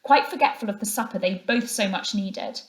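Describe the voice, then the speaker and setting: A woman reads a children's book aloud in the manner of a schoolteacher. Her medium-pitched voice carries expressive storybook narration. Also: moderate pace, reverberant. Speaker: teacher reading to a class.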